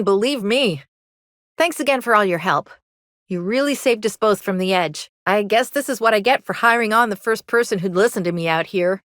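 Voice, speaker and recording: Game role: anxious colony mechanic woman, high voice, folksy Southern US drawl, apologetic, dry close recording. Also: a bit bright, no echo. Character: anxious, earnest young colony mechanic woman fond of her robot. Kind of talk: video game dialogue.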